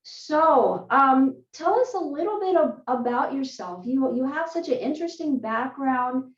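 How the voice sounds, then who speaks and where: A woman hosting an online interview, speaking in a friendly, measured way over a video call. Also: sits high, lively intonation. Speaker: host. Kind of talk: scripted intro, then interview. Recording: roomy, distant, dull video call audio.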